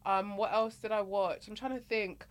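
This is a young woman with a British accent, co-hosting a frank and animated podcast chat at a mid-range pitch. She laughs easily and talks openly. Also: moderate pace. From Britain. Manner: candid, animated, laughs often. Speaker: podcast co-host. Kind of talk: casual chat.

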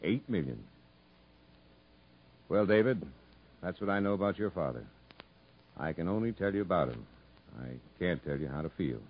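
An actor playing an earnest, fatherly prison captain in a 1950s radio drama, telling a story in a measured, emphatic way. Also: low voice, lively intonation, slight background noise. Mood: earnest, reflective. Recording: muffled vintage 1950s radio recording.

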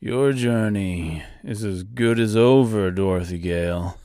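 A man voicing a dying, rusted tin man who taunts in a low voice and laughs between his words. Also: unhurried, very noisy. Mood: taunting.

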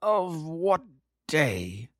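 A man reading a story aloud, voicing a befuddled, tearful man fogged by opium who talks in a slow, confused, pleading way. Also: fairly high pitch, big pitch swings, fairly bright tone, dry recording, studio quality, voice pushed up, full character voice. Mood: bewildered.

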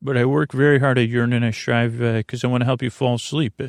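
Creaky-voiced man rambling a bedtime podcast. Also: medium pitch, flat delivery. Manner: meandering, halting, repeats and trails off mid-thought. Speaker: podcast host.

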